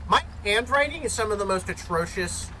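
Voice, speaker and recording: Lively high-voiced young man. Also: fairly quick, slight background noise, poor audio. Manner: animated, jokey, swears freely. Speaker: gaming streamer. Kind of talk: casual chat while gaming.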